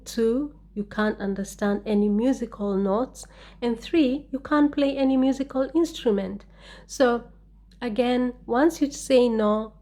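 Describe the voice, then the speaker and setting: A woman gives an English exam-prep lesson at an unhurried, deliberate pace. Her voice is soft and mellow, and she repeats each sample answer with care. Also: mid-range voice. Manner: slow, careful, repeats model answers. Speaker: English teacher.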